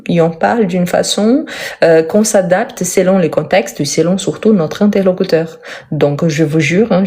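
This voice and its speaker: A Brazilian-born woman whose first language is Portuguese, teaching French in a live online lesson, speaking slowly and simply and often repeating words for emphasis. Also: sits low, mellow. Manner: slow, careful, simple speech for learners.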